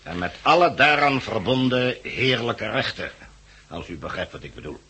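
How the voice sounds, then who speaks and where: A man's voice: medium pitch, sing-song, normal speed, pushed and bright, husky, full character voice. A man acting in a 1960s Dutch radio drama as a cold, officious committee envoy, formal and pompous, with a Netherlands accent. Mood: coolly insistent. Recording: muffled-edged vintage 1969 radio recording.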